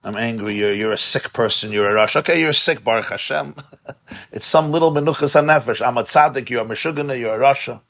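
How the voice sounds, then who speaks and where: A man's voice: medium pitch, fast, fairly bright tone. A man, a rabbi, giving an informal religious lecture in a study hall, impassioned and conversational, repeating words for emphasis and mixing in Yiddish and Hebrew terms. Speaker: rabbi teaching a class.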